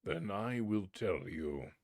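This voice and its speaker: A man's voice: expressive, slow pace, a little mellow, dry recording, studio quality. A man growling as a huge menacing spirit. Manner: booming menacing. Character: huge terrible male spirit.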